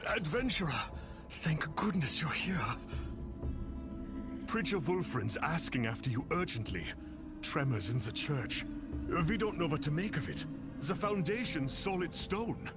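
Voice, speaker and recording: A man's voice: on the high side, very animated, measured pace, long pauses, over loud music. A man playing an earnest, anxious villager in a fantasy game, urgent and folksy. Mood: worried, earnest. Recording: loud game score over voice.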